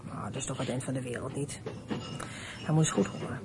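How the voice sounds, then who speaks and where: A woman playing a blunt, motherly stranger in a Dutch radio play, brisk and no-nonsense as she coaxes. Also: very deep voice. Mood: coaxing, practical. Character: blunt, motherly stranger advising a runaway child. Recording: noisy radio play with ambience.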